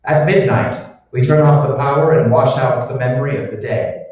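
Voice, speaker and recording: A man's voice: mid-range voice, fairly even intonation, moderate pace, natural voice. Echoey, distant mad scientist played by a man. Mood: cold, explanatory. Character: cold, calculating male mad scientist, smugly explaining. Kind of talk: old-time radio drama acting. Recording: echoey hall, distant mic, muffled.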